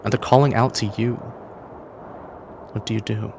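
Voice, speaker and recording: A man's voice: fairly low pitch, little pitch movement, moderate pace, gravelly, a little mellow, a little hiss or hum. A man acting as game master, giving dramatic second-person narration for a fantasy adventure.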